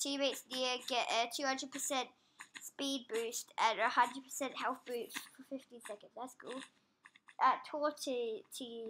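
A child gamer, sniffly from a runny nose, giving excitable casual commentary while playing. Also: female voice, high-pitched. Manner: excitable, squeals and groans at the game. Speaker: gameplay commentator. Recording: loud background noise.

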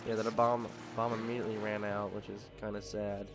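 A man's voice: fairly low pitch, flat delivery, fairly quick. A man with a slightly nasal voice from allergies, casually talking through a video game and thinking aloud with frequent ums. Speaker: let's play host. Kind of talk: casual gameplay commentary. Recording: game music behind the voice. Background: game music.

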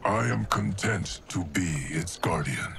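An actor playing a calm, loyal, grave immortal guardian of time in a video game, speaking in a formal, measured way. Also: male voice, low voice, creaky, a little hiss or hum, exaggerated voice. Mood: steady, sincere. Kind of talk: video game cutscene acting.

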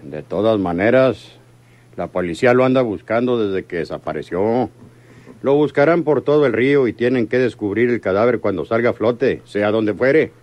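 A man acts out a commanding gang boss in old radio-drama style. His voice is fairly low, his manner curt and stern. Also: expressive, moderate pace, a little mellow, lightly acted. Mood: suspicious. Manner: curt, interrogating. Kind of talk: old-time radio serial acting.

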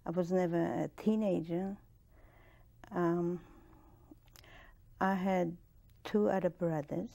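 An older woman with a Polish accent, in her sixties, talks in a low, warm voice with a gravelly edge. She tells her story in a measured, plain way, with restarts, and the lapel mic in the studio picks her up close. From Poland. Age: 60s. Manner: measured, plain-spoken, with soft laughs and restarts. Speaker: interviewee, Holocaust survivor. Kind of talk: oral history interview. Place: studio with dark curtain backdrop.

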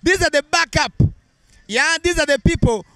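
High, bright street presenter. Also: male voice, moderate pace, dry recording. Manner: sing-song presenter delivery mixing English and local language. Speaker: street interviewer. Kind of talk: street vox-pop interview. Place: busy town street outdoors. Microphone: handheld mic.

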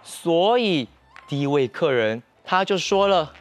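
A young man with a Taiwanese accent narrating a children's story in an animated TV presenter style. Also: fairly high pitch, measured pace. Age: young adult. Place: TV studio set.

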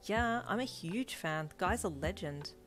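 A woman voicing a brash, snarky young female gamer who swears and gushes excitedly, in a higher voice than her own. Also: measured pace, soft background music.

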